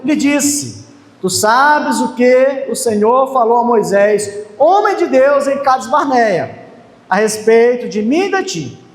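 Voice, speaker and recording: A man preaching a sermon in a church, emphatic and often raising his voice on key phrases. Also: very high-pitched. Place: church hall. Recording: echoey church hall with long reverb.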